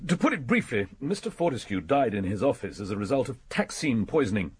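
English police inspector in a radio play, real rough breathy voice, lively pitch, dry and polite, bit sceptical. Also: male voice, a little fast. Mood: wry, courteous. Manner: courteous, wry, matter-of-fact explanations. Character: male police inspector, courteous, dry, sceptical but open-minded. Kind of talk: BBC radio detective drama.

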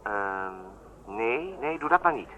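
A man's voice: mid-range voice, sing-song, slow, pushed and bright, heavily acted. An actor playing a cautious, curt male agent heard through a telephone in a radio drama. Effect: telephone filter. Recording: dull telephone-filtered radio play voice.

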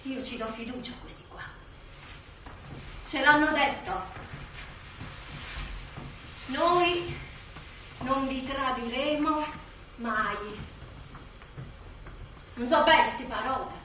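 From far off in a very echoey hall comes a scornful southern Italian villager, extremely high and sharp in tone. It is buffoonish theatre, defensive and dismissive, full of exclamation. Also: female voice, expressive, slow pace, pauses often, full character voice. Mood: subdued, loyal. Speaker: stage actor. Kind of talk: exaggerated buffoonish stage acting. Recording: echoey hall, distant mic, faint noise.

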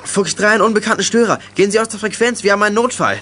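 A man's voice: high voice, very fast, noisy background, close to an everyday voice. An actor playing a level-headed boy detective leader in a German youth radio play, giving clipped radio commands. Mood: urgent.

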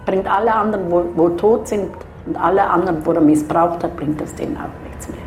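A woman's voice: lowish voice, a little fast, darkish tone, roomy sound, a little hiss or hum, rough recording. A woman giving a personal interview account in a bitter, emphatic way, repeating herself.

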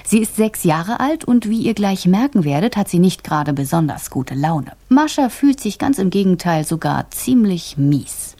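A woman's voice: on the low side, big pitch swings, normal speed, hardly any pauses, mellow. A woman presenting a children's radio play, addressing the young listeners in a scripted introduction.

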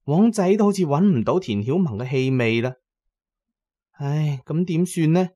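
A male narrator plays a young huntress in an audio adventure tale. The voice is raised high, fretful and urgent, as if danger worries her. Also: expressive, normal speed, warm tone. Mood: worried.

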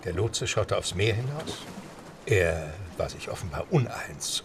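A man's voice: low-pitched, recorded from a distance, noisy background. A man narrating an audio drama in scripted storytelling style. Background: music.

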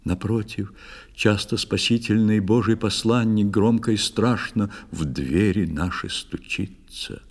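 A man narrating a poetic tale theatrically, in a measured, expressive storytelling manner. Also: fairly low pitch. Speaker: narrator of a children's musical audio play. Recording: long studio reverb, music underneath. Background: music.

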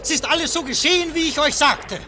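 A man's voice: very high-pitched, quick pace, noisy background. An actor playing a man who hurriedly brings bad news in a hasty, reporting manner. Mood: urgent. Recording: storm sound effects behind.

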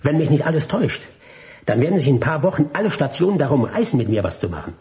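An actor playing a cocky, combative radical activist in a radio drama, speaking with slangy scorn and self-assurance. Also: male voice, on the high side, sing-song, fast, darkish tone. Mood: cocky, defiant.

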